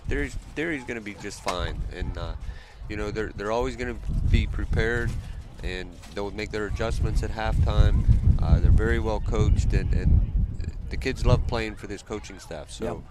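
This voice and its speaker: A man giving color commentary on a high school football game, halting, with repeated words and fillers. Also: rough recording. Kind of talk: postgame sports analysis.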